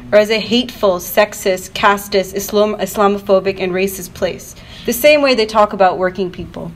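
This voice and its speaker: A woman reading a prepared political speech at a live event, with earnest, declarative and emphatic phrasing. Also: medium pitch. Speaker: activist panel speaker. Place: university event, speaking to an audience. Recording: noisy live event room recording.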